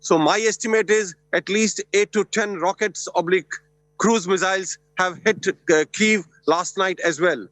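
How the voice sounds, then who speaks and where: A man reporting live by phone for a news channel, brisk and matter-of-fact. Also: high voice, fairly bright tone, dry recording, faint music behind. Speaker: field reporter.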